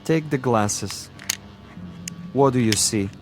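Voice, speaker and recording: An actor playing a smug, cunning commissar and assassin in a vintage television drama, with a pompous, savoring delivery. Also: male voice, mid-range voice, slow, over music, natural voice. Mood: relishing. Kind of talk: 1960s television drama acting.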